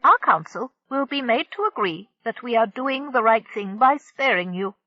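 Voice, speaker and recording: A stern, unbending alien captain for a storybook reading, as a woman narrator puts her on. The voice sits high and lively, rising sharply on its demands. It comes over a dull, dry, lo-fi recording. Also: moderate pace, dry recording. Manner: severe, emphatic.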